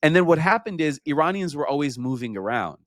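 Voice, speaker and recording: A man from Iran talking casually as a guest, animated and quick to mimic other people. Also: on the high side, no echo, clean studio sound. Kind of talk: casual conversation.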